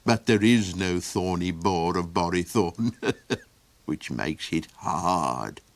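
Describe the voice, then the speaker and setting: A wry storybook traveller muses in a low, unhurried voice, as a man reading aloud puts him on. He draws out his words thoughtfully. The sound is dry and close. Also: animated, no echo, heavily acted. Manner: slow, musing, wry. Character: musing man, a grinning traveller.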